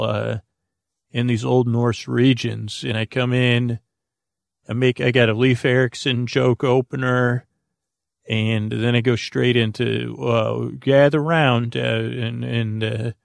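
A man hosting a bedtime podcast with a creaky voice, rambling in a halting, goofy, improvised monologue that meanders into tangents. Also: medium pitch, no room sound, clean studio sound. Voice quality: gravelly, creaky. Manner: goofy, whimsical. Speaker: podcast host.

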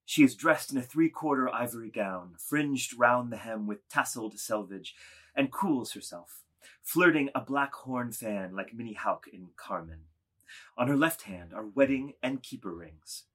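A man narrating a literary drama, reading scripted stage directions in a deliberate, dramatic way. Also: mid-range voice, animated, a bit bright, slightly rough.

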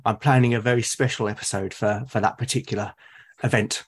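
Husky man from Britain, reflective podcast guest. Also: lowish voice, fairly even intonation, brisk. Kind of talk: casual reflective conversation.

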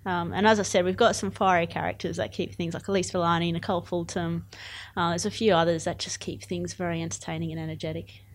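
Woman, twenties, Australian accent, slightly low voice, chatty, enthusiastic, casual interview. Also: flat delivery, quick pace. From born in Zimbabwe, moved to Australia aged eight. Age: 20s. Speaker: cricket player.

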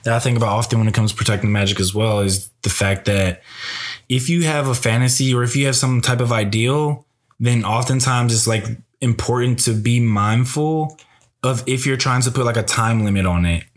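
A young man co-hosting a podcast, speaking in a gentle, musing, encouraging way about astrology and dreams. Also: mid-range voice, normal speed. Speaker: podcast co-host. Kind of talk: casual conversation about astrology.

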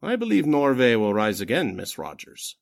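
A man's voice: medium pitch, wildly swooping pitch, normal speed, vocal fry. A man acting a grave, formal Norwegian resistance leader in a radio drama. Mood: solemn. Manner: solemn, formal.

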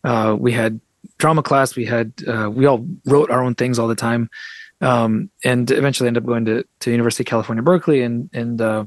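A man's voice: medium pitch, a little fast, dry recording. Wry storytelling man, dry close sound. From United States. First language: Russian. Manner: wry, animated storyteller with frequent restarts. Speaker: guest author and translator. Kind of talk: conversational interview storytelling.

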